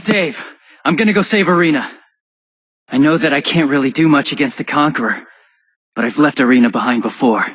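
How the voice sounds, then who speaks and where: A man's voice: on the high side, a little fast, pauses often, lightly acted. A man playing an impulsive, loyal teenage boy hero in a dramatic video game. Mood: determined. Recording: loud music over dialogue.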